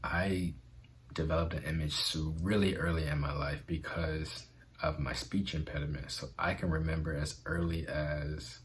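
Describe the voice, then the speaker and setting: A man's voice: very deep voice, fairly even intonation, normal speed, slight background noise. A man vlogging in an earnest, conversational way about self-acceptance, with a stutter. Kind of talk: motivational vlog talk.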